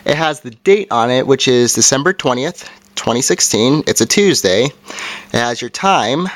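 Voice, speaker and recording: A man with a mid-pitched voice presents a homemade product demonstration. He talks informally and plainly, going through each part and setting one step at a time. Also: expressive, moderate pace, fairly bright tone. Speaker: amateur video reviewer. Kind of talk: casual product review and demonstration.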